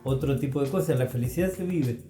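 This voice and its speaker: A man speaks in reflective conversation. His tone is extremely dark and relaxed, at a middling pitch. The sound is roomy, with faint music behind him. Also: fast.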